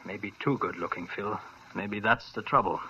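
A man's voice: fairly low pitch, normal speed, sharp tone, a little hiss or hum. An actor playing a worried, earnest older male doctor who talks hesitantly, in 1940s radio style. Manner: earnest and hesitant, full of uh's. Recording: dull old radio recording.

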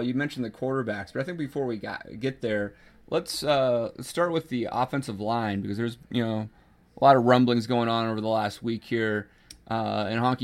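A man hosting a football podcast, steering the talk with many hesitations. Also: mid-range voice. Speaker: podcast host. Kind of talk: casual football chat.

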